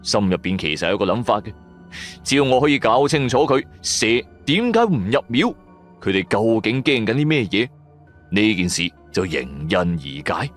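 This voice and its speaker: Animated male suspense storyteller. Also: lowish voice, moderate pace. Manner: suspenseful, colloquial. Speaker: audio drama narrator. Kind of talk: dramatic first-person horror storytelling for radio. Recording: music under the voice. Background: background music.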